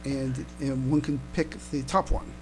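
A man co-hosting a casual fan podcast, chatting haltingly and often repeating his words. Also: medium pitch, fairly even intonation, crowd noise, poor audio. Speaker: podcast co-host.

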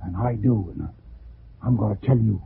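A man acting a desperate condemned murderer in a 1940s radio drama, husky and halting as he pleads. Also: fairly low pitch, a little hiss or hum. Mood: urgent, insistent. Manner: halting, urgent, repeats himself. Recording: muffled, tinny 1940s radio broadcast.

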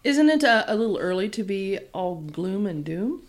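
A woman's voice: medium pitch, big pitch swings, moderate pace, natural voice. An actress playing a friendly young female college student from Texas with a Texan accent, talking in a chatty, hesitant way.

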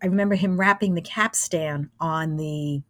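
A woman's voice: low voice, moderate pace, dry recording, studio quality. A woman in her sixties reminiscing casually as an interview guest. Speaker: interviewee, radio station alumna.

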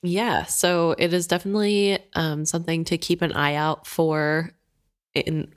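A woman's voice: fairly low pitch, level tone, a little slow, clear, resonant tone. A young woman co-hosting a casual book podcast, stuffy from allergies and laughing often between chatty, filler-heavy remarks. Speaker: podcast co-host.

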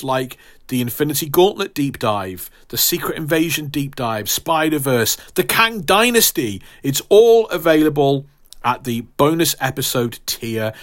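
A man's voice: fairly high pitch, a bit bright. Emphatic male podcast host. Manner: mock-grandiose, emphatic. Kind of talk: casual scripted promo talk to listeners.

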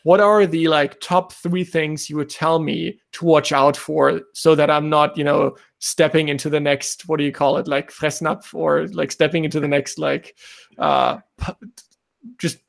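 A man's voice: a little high, steady tone, measured pace, vocal fry. A man from Germany hosting a podcast in casual remote chat, upbeat and rambling, laughing easily. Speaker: podcast host. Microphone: remote recording setup.

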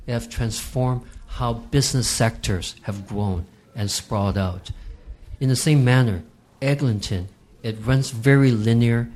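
A man from Hong Kong, a real estate guest on a podcast, explaining market trends in a measured, emphatic way. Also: medium pitch, darkish tone. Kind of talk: podcast interview.

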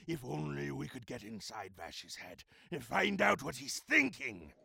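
A man playing a blustering, villainous space pirate captain with a raspy growl in a comedic sci-fi audio drama. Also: mid-range voice, big pitch swings, a little slow, no echo, full character voice. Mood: scheming. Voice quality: raspy, gravelly. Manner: theatrical pirate growl, shouting.

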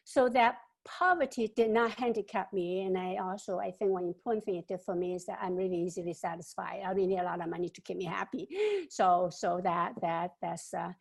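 A woman, giving an interview answer about her life. She speaks haltingly, with many fillers and repeated words. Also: on the low side, fairly even intonation, gravelly. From China. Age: 70s.

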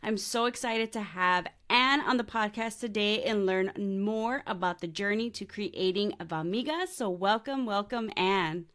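A woman's voice: mid-range voice, measured pace, hardly any pauses, a bit bright. A woman hosting a podcast, bubbly and enthusiastic, laughing often and mixing in Spanish slang words. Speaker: podcast host. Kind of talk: casual interview with astrology talk.

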